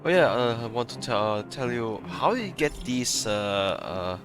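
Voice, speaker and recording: Slow, animated man commenting on a game. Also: medium pitch, over music. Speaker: gamer commenting on gameplay. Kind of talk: casual gameplay commentary, reading choices aloud.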